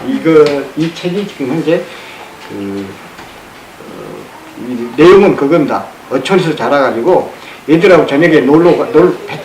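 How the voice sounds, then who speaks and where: A man giving an informal public lecture in an earnest, halting way, often repeating his phrases. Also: fairly high pitch. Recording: roomy, echoey with background noise.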